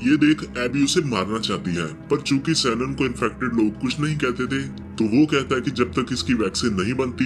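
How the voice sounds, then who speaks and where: A man narrating a film recap in a steady, scripted storytelling manner. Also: mid-range voice.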